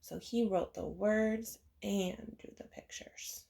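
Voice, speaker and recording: Slow-paced woman reading a picture book aloud. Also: medium pitch, gravelly, dark tone. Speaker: read-aloud reader. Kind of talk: expressive children's picture book read-aloud.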